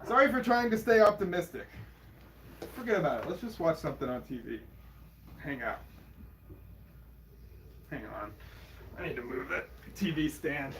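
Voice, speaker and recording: A man's voice: fairly high pitch, expressive, normal speed, long pauses, a bit bright. A man playing a flippant, laid-back partner in a naturalistic audio drama, speaking in a casual, wry, dismissive way. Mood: defensive, casual. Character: laid-back, flippant partner, nervous about bee stings. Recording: faint background noise.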